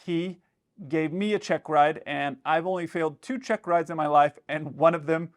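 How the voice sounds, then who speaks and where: A man's voice: on the high side, moderate pace. A man who is a pilot and host explains things in casual commentary, conversationally acting out imagined dialogue as he goes.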